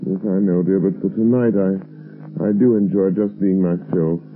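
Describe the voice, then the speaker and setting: A man's voice: sits low, steady tone, moderate pace, natural voice. An actor playing a suave, wealthy man-about-town who secretly fights crime, in a 1930s radio drama. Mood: suave, relaxed. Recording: muffled, narrow-band 1930s radio recording.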